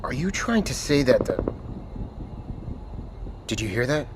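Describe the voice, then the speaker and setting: An actor playing a terse, impatient military commander in an audio drama, curt and full of demanding questions. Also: male voice, medium pitch, sing-song, a little slow. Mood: tense. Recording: clear background noise.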